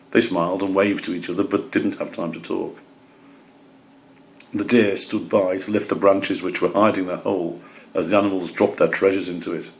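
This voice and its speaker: A man's voice: deep voice, darkish tone, poor audio. A man narrating a children's storybook as its reader.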